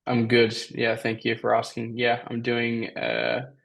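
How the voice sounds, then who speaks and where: A man with a slightly raspy voice, coaching on a call and giving casual, emphatic advice as a sales coach. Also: mid-range voice, sing-song, unhurried, fairly bright tone. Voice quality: raspy from talking all day. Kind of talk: coaching call, answering questions.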